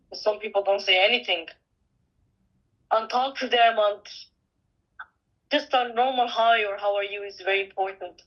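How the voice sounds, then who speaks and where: Mid-pitched woman, slightly dull recording. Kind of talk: informal personal opinion in interview. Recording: dull lo-fi sound.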